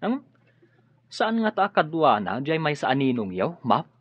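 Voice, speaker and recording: A man's voice: on the high side, big pitch swings, a little slow, breathy edge, no room sound, exaggerated voice. A deferential male helper, muffled. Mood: hesitant. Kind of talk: radio drama acting. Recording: dry, muffled lo-fi radio drama with music.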